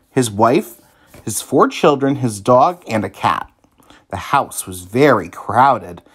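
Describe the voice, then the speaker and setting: A man reading a children's story aloud in an expressive, emphatic teacher's manner. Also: medium pitch.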